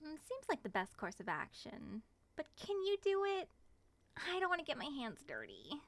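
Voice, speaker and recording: A woman's voice: high voice, normal speed, heavily acted. A woman playing a playful, flirtatious moth monster woman with a teasing manner in an ASMR roleplay. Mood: coy. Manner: teasing, sing-song endearments and excited exclamations.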